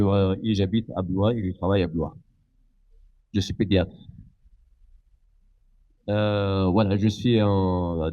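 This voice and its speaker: Halting, low-voiced older man from Palestine. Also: lo-fi sound. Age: middle-aged or older. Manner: halting, searching for words. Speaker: guest speaker, doctor. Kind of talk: unscripted public talk.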